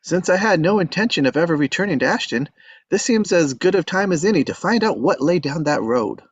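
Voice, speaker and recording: A man's voice: fairly high pitch, expressive, brisk, slightly rough, dry recording. Man playing an adventurous young storyteller, dull lo-fi sound. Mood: wry reminiscing. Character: adventurous young Southern small-town man, easygoing storyteller. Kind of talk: table-read acting with first-person narration.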